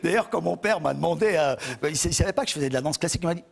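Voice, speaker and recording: A Belgian man, a talk show guest, telling stories in a lively, laughing way and often repeating words as he searches for them. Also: on the high side, racing, gravelly. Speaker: guest, actor and director. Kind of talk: casual talk show interview. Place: TV studio with audience.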